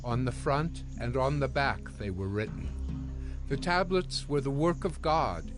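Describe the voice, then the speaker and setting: A man's voice: medium pitch, expressive, measured pace. A man narrating a dramatized scripture audio production from a script. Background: music.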